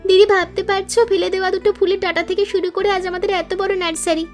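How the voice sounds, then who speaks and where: Woman as hardworking young sister, very high pitch, earnest, grateful, cartoon moral tale, faint music. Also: little pitch movement, very fast. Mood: hopeful, proud. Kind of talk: cartoon moral story voice acting. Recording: music under the voice.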